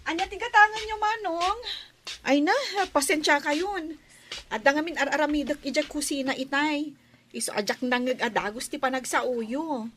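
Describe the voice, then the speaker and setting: Casting: cheerful young Ilocano city niece played by a woman, very high voice, sweet and respectful, audio drama, noisy recording. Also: moderate pace, a bit bright. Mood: curious.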